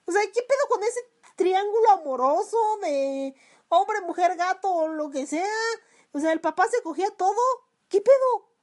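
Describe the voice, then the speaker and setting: High-voiced, crude Mexican woman ranting. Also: normal speed. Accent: Mexican Spanish. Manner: crude, lively, swearing. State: sore throat. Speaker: podcast host. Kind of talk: casual solo podcast ranting.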